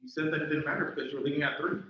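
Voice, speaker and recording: A man's voice: on the high side, steady tone, racing, pushed and bright, highs cut off. A man playing a jealous, easily worked-up boyfriend in a live script reading, theatrical and exclamatory, recorded in an echoey room. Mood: defensive, probing. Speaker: actor at a table read. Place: indoor event room, live stream. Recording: echoey room, distant mic.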